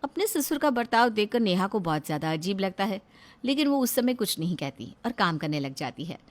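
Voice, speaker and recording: A woman's voice: medium pitch, big pitch swings, brisk. A woman reading scripted narration as the storyteller of a family tale.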